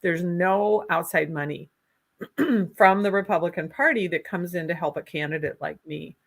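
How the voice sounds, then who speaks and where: A woman with a thick Minnesota accent talks through a remote interview, a little low-pitched for a woman. She speaks earnestly and halts often, with ums and restarts as she works through her points. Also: moderate pace, vocal fry. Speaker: candidate for Secretary of State. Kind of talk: political interview, conversational.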